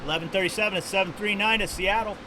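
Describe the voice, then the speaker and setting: A man's voice: sits high, steady tone, racing. A man giving short plane spotting commentary outdoors near an airport runway. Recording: outdoor recording with loud aircraft noise. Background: aircraft noise.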